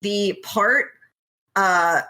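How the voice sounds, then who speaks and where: A woman's voice: medium pitch, drawn-out words, bright, forceful tone, poor audio. A woman hosting a casual chat podcast, retelling a story in an informal, sweary, giggly way. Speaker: podcast host.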